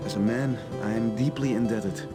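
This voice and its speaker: An actor playing a seasoned middle-aged adventurer in a video game, speaking in a measured, reflective and theatrical way. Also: male voice, on the low side, fairly even intonation, lightly acted. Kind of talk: video game cutscene acting. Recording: game audio with prominent music behind.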